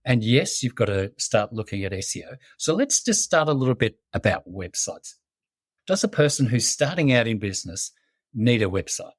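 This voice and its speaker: Lively, enthusiastic male podcast host. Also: medium pitch, moderate pace, husky, dry recording. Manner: enthusiastic, effusive praise. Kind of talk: podcast interview.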